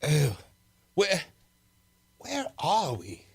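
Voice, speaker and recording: A man's voice: a little high, huge pitch swings, extremely slow, gravelly, breathy edge, full character voice. A man playing an earnest, heroic alien prince in a space radio serial, speaking dramatically. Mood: dazed, admiring. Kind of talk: old-time radio serial acting.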